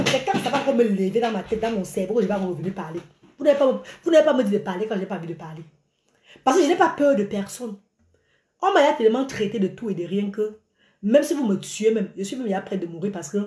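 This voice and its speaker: Animated woman, bright high voice. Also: a little fast. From Cameroon. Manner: animated and exclaiming, gesturing a lot. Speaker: live-stream vlogger. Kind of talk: live video rant to followers. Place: small indoor room by a curtain. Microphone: phone at arm's length. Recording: echoey small room.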